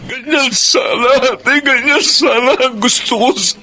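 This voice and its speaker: A man playing a middle-aged, loving but anguished father in an emotional radio drama, halting and repeating his words. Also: very high voice, bright, forceful tone, a little hiss or hum, exaggerated voice. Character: grieving Filipino father, loving, anguished, bitterly reproachful.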